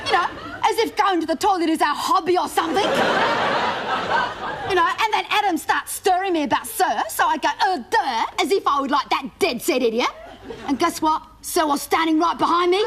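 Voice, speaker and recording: A woman's voice: extremely high pitch, lively intonation, moderate pace, crowd noise. A woman with an Australian accent playing a gossipy, easily embarrassed teenage schoolgirl, rambling breathlessly through a comedy monologue. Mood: indignant, gossiping. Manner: breathless rambling storytelling, mocking asides. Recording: studio audience laughter and noise.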